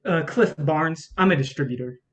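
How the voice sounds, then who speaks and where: A woman's voice: sits low, normal speed, natural voice. A woman playing a cunning, bitter male film distributor in a staged play reading, with a blunt, cocky manner. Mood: slick, flattering.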